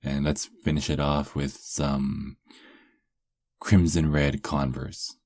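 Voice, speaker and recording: A man playing a doting, possessive vampire lover in an intimate ASMR roleplay, murmuring softly and coaxingly. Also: very deep voice, little pitch movement, slow, no room sound, studio quality, full character voice. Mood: playful, attentive.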